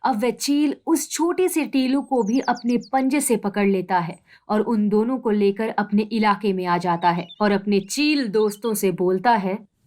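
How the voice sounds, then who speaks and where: A woman's voice: mid-range voice, a little slow, darkish tone. Casting: female storyteller for a children's cartoon, mid-range voice, scripted narration.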